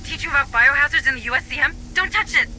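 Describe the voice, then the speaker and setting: Techy support officer in a video game, super bright cutting voice, brisk and to the point, faint hum behind. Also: female voice, sits high. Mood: alarmed. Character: sharp, technically minded female administrator on mission support. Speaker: remote mission support officer. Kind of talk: video game dramatic acting.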